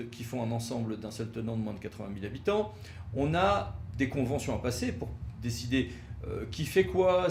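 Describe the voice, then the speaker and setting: A man's voice: mid-range voice, a little mellow. A man gives an explanatory legal lecture with slides, in a didactic manner with emphatic signposting and frequent hesitations. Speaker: legal expert presenting. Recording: roomy echo with faint background noise.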